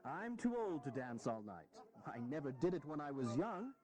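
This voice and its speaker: A man's voice: on the high side, big pitch swings, moderate pace, darkish tone. An actor playing an aging, self-deprecating American statesman in a historical audio drama, sardonic, blunt and earnest. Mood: wry, amused.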